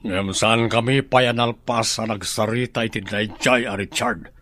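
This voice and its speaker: A man's voice: fairly low pitch, steady tone, a little slow, fairly bright tone, a little hiss or hum. An actor with an Ilocano Filipino accent playing a stern, skeptical household father in a radio drama.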